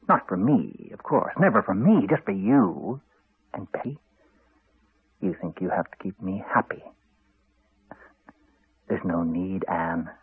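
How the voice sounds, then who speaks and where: An actor playing a bitter, cold, controlling husband in a radio drama, speaking in a measured, accusing, intimate way. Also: male voice, sits low, slightly rough, no echo, full character voice. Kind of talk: 1980s radio drama monologue. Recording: dry, narrow-band old radio recording.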